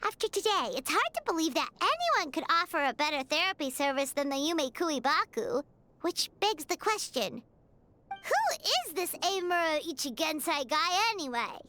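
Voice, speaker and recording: A woman voicing a tiny, cheery, childlike fairy companion in a video game, bubbly and excitable. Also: sits high, moderate pace, exaggerated voice. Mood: curious, eager. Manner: bubbly, refers to self in third person.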